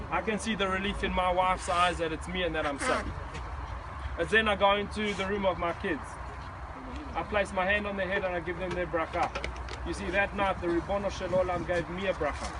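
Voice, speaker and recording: South african guy giving a speech outside, kinda high voice, traffic noise behind him. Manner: earnest, emphatic, acts out quoted shouting. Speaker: speaker addressing a visiting group. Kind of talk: motivational speech.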